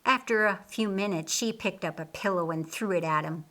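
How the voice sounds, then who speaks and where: A woman narrating a scripted story as an audiobook, reading deliberately and expressively. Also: medium pitch, bright, forceful tone.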